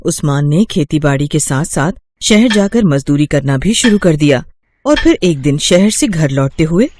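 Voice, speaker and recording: A man's voice: a little high, sing-song, moderate pace, a little mellow, a little hiss or hum. A man narrating a moral bedtime story as scripted storybook narration.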